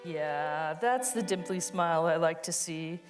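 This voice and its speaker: A woman's voice: deep voice, unhurried, faint music behind. A woman giving a dramatic reading of an autobiographical monologue, with a tender, emphatic storytelling delivery. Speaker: co-reader of a monologue.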